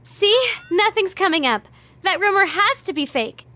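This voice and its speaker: Very high perky American teen girl. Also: moderate pace, exaggerated voice. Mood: doubtful. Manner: bubbly, expressive, girlish. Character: perky, excitable teenage girl, doubtful yet caring. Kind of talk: anime-style video game dubbing.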